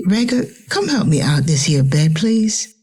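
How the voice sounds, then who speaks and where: A woman's voice: low voice, big pitch swings, a little slow, darkish tone, breathy edge, exaggerated voice. A woman playing a weary, devout enslaved mother with a Southern US accent, tender and prayerful, in a dramatic dialogue.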